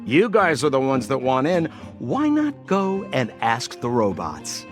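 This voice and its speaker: A man playing a smooth-talking, boastful young swindler guide in a video game, with a theatrical, wheedling salesman's manner. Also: mid-range voice, huge pitch swings, a little slow, over music. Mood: breezy explaining.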